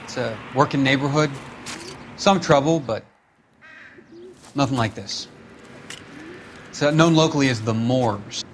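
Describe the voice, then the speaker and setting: A man's voice: medium pitch, measured pace, pauses often, slight background noise, close to an everyday voice. An actor playing a respectful male patrolman in a noir detective game, plain-spoken and folksy. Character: deferential male uniformed beat patrolman. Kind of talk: video game dialogue acting, 1940s noir.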